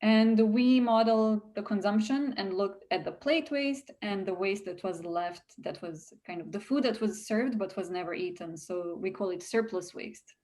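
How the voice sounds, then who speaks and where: A woman's voice: medium pitch, normal speed, few pauses, a little mellow. Female researcher, young adult, mid-range voice, informal explanatory interview delivery. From Serbia.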